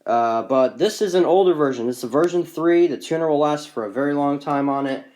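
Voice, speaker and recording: A man's voice: on the high side, brisk, darkish tone. Man giving a rambling show-and-tell. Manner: rambling, unscripted, matter-of-fact. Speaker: gadget collector presenting his collection. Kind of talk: casual off-the-cuff show-and-tell. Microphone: handheld phone.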